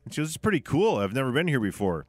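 A man's voice: mid-range voice, sing-song, very fast, no room sound, studio quality. A middle-aged man with an American accent co-hosting a sports talk radio show, bantering casually and telling anecdotes with self-corrections. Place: radio studio.

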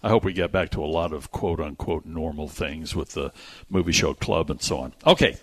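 A man's voice: rumbling low, a little fast. A man hosting a radio show, chatting casually about movie news in a jokey, exaggerating way. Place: radio studio. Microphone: close studio microphone.

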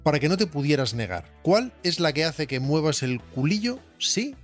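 A man co-hosting a chat show, dropping dry, quick one-liners. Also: on the high side, very animated, faint music behind. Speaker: podcast co-host. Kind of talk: casual banter.

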